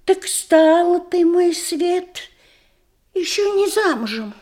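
An affectionate old country matron in a radio comedy. Her voice is high and lilting, and she takes her time. She gushes in old-fashioned folksy verse, fond of the old days. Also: lively intonation, takes it slowly, a little mellow, heavily acted. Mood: doting. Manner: gushing, doting, old-fashioned folksy speech.

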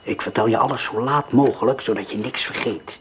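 An actor playing a cold male gang leader in a radio drama, who gives orders in a calm, precise way. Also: medium pitch, a little fast, noisy background, lightly acted.

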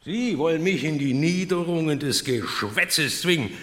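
Haughty male intellectual; sharp, highish voice, declamatory, booming hall echo. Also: lively intonation, normal speed. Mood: haughty, scornful. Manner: pompous, declamatory, scornful. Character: imperious, haughty Prussian thinker proclaiming grand progress. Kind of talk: radio play acting. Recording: echoing large hall, long reverb.

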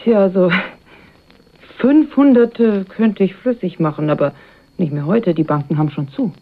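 A woman's voice: on the low side, a little slow. An actress playing a self-possessed, mercenary woman in a radio crime drama, speaking with guarded, dry irony. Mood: cool, dry. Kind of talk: 1980s German radio crime drama acting. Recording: dull, band-limited radio play recording.

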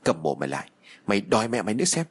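Man playing a low, surly bullying uncle. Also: sing-song, rapid-fire. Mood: taunting threat. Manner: gruff, threatening. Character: surly, callous young uncle, bullying and taunting.

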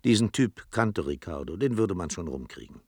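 A man with a husky voice narrating a literary radio play in a dramatic storytelling style. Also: deep voice, big pitch swings, moderate pace, a little mellow, no room sound, studio quality, exaggerated voice.

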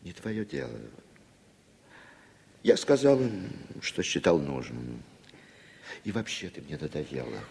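A man's voice: very deep voice, very animated, takes it slowly, creaky, pauses often, darkish tone, husky, exaggerated voice. A man acting a cowardly, hard-drinking playwright in a 1960s Soviet radio drama, theatrical, sarcastic and full of self-contempt. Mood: sullen, sarcastic. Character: cowardly, bitter, hard-drinking male playwright turning informer. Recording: reverberant 1960s radio play recording.

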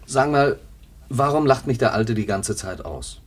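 A man's voice: mid-range voice, expressive, a little slow, breathy edge. An actor playing a brooding, disillusioned young man, subdued and trailing off, in a 1950s German radio drama. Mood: brooding, defensive. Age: young adult. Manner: subdued, trailing off in short phrases. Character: brooding, disillusioned elder son, drifting and defensive.

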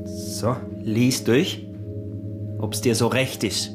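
An actor playing a shrewd, guarded smuggler husband in a radio drama, speaking tersely. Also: male voice, medium pitch, steady tone, extremely slow, over music. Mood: sly, businesslike.

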